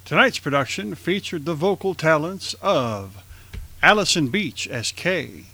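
A man's voice: a little high, lively intonation, a little slow, pushed and bright, slightly rough. A man announcing as the host of a radio drama show, reading credits and sign-offs.

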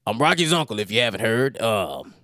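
A man's voice: fairly low pitch, very animated, fairly quick, sharp tone, no echo, clean studio sound. A man voicing a boastful, joking uncle in his late sixties who teases young people.

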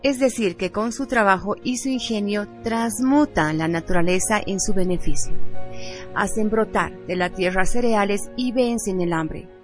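A woman's voice: medium pitch, normal speed. A woman reading a scripted radio narration in a solemn style. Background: background music.

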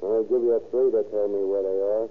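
An actor playing a menacing, sneering hoodlum boss in a 1930s radio crime drama, talking in bullying slang. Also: male voice, lowish voice, steady tone, brisk, lightly acted. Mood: threatening. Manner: slangy tough talk, bullying. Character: male gang leader, tough sneering menacing 1930s hoodlum. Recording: old narrow-band radio recording.

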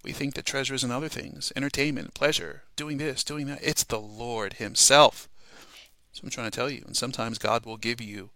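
Bright, gravelly man speaking earnestly. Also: mid-range voice, fairly even intonation, moderate pace, no room sound, poor audio. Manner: earnest, emphatic, often checks with "okay?" and "all right?". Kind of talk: informal devotional talk, personal testimony.

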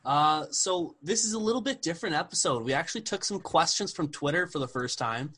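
A man hosting a podcast, introducing his guest and asking questions in a relaxed way. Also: on the high side, fairly quick, husky. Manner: relaxed, short affirming replies. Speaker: podcast host. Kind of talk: casual interview hosting.

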